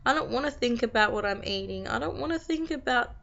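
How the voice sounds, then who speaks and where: A young Australian woman with a croaky voice from being sick, chatting to camera in a tired, rambling, venting way. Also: fairly high pitch, lively intonation, quick pace, fairly bright tone. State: croaky from a long cold. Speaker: vlogger. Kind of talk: casual chat to camera. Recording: slightly dull lo-fi recording.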